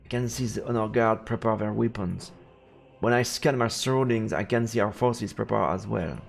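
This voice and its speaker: A male streamer reads a fantasy visual novel in dramatic storybook narration. His voice is fairly low with a lot of creak. Faint game music plays behind him. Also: normal speed.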